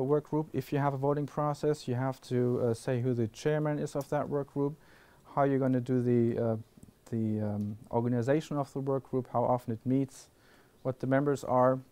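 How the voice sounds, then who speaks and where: A man's voice: medium pitch, fairly even intonation, moderate pace, few pauses, warm tone. A man on a conference panel answering audience questions, often restarting sentences with fillers. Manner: thoughtful, many restarts and fillers. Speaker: panel speaker. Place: classroom lecture hall with audience.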